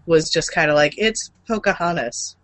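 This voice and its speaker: A woman's voice: low-pitched, moderate pace, fairly bright tone, no room sound. A woman co-hosting in casual, unscripted chat with an irreverent, playful, sweary manner. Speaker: co-host of a gaming and comics news show.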